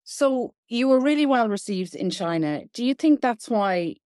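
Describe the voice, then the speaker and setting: A woman's voice: a little high, lively intonation, moderate pace, a little mellow, clear, resonant tone, no echo, clean studio sound. A woman with an Irish accent hosting a podcast interview, asking casual, chatty questions. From Ireland. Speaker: podcast host.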